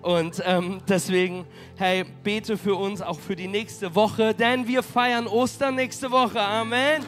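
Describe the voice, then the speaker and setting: A man preaching as pastor, energetic and rallying his congregation, with a cold that makes him hoarse. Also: sits high, slow, soft background music. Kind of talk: sermon. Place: church hall.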